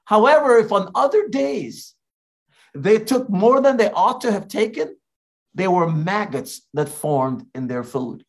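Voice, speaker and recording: A man from Guyana, an evangelist, preaching a sermon in a slow, deliberate way with emphatic rises. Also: high-pitched, animated.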